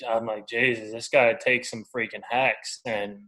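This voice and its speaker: A man in his twenties talks as a guest athlete in a casual interview. His voice is mid-pitched for a man, with a flat, even intonation and relaxed, informal wording. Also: measured pace, low-quality recording. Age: 20s. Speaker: baseball pitcher, podcast guest.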